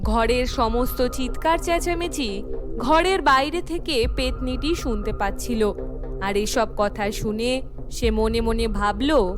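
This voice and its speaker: Woman narrator, scripted horror cartoon storytelling, high-pitched, measured pace, music behind.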